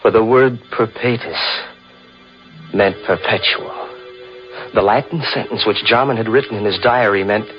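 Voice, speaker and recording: A young male chemistry teacher, as an actor plays him, narrating in a low, earnest voice with mounting suspense. A muffled old radio recording, with music playing behind. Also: moderate pace, close to an everyday voice. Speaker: narrator and lead in a radio drama. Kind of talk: 1940s radio acting.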